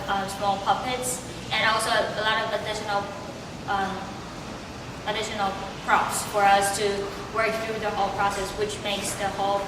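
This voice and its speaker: A young woman giving informal interview answers, hesitating as she searches for words. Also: mid-range voice. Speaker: student puppet show narrator being interviewed. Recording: very echoey, distant, noisy room.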